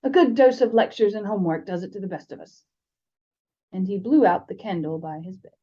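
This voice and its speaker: A woman's voice: medium pitch, fairly quick, mellow, breathy edge, lo-fi sound. An American woman co-hosting a fan podcast, reading stories aloud and chatting casually about books. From United States. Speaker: podcast co-host and audiobook reader. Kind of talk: story reading and casual book chat.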